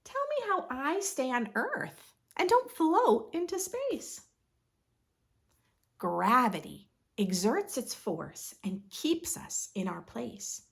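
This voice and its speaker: Expressive woman reading slowly to children. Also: high voice, vocal fry. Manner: expressive, instructive, asks listeners questions. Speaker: children's read-aloud host. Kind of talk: reading a picture book aloud to young children.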